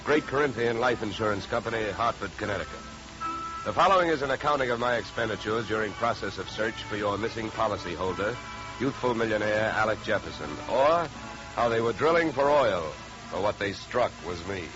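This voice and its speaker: Old 50s radio show, guy acting wry american private eye, lowish voice, dry and clipped, muffled lo-fi sound. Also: a little fast, over music, natural voice. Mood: brisk, dry. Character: wry, hard-boiled male freelance insurance investigator. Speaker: lead actor playing the investigator and narrator. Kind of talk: 1950s radio detective drama, first-person narration and dialogue. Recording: dull, lo-fi 1950s radio recording.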